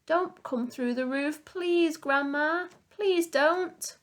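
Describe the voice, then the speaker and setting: A woman's voice: on the high side, animated, normal speed, pitched above the performer's own voice, lightly acted. Brief: earnest young boy, raised and eager, pleading, voiced by a woman. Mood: anxious pleading. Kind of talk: storybook read-aloud.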